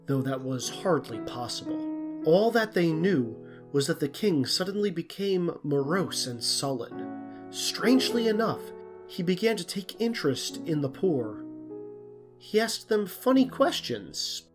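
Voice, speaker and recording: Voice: mid-range voice, sing-song, unhurried. A narrator reading a scripted storybook tale. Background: plucked string music.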